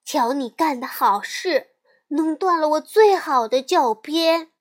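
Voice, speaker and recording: A woman's voice: fairly high pitch, big pitch swings, takes it slowly, full character voice. A woman voicing a bossy, boastful child who plays the teacher and gives orders. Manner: bossy, commanding.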